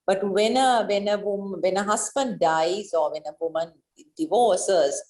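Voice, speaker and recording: A woman's voice: mid-range voice, a little slow, a little mellow. A woman with a Sri Lankan accent, answering as an interview guest in an earnest, encouraging, conversational way. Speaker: interview guest, business leader. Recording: echoey, roomy sound.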